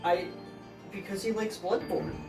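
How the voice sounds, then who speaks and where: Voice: mid-range voice, fairly even intonation, a little slow, music in the background. A podcast co-host introducing a topic in a hesitant way, full of "uh"s and repeated words. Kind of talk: casual podcast chat. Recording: echoey room, far from the mic.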